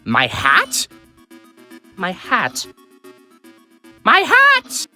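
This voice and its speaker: A man voicing a vain, naive cartoon skeleton from a video game, with a grandiose, theatrical delivery that jumps between hushed asides and loud exclamations. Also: high-pitched, huge pitch swings, extremely slow, exaggerated voice. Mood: dramatic dismay. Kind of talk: amateur video game character dub. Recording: voice over background music.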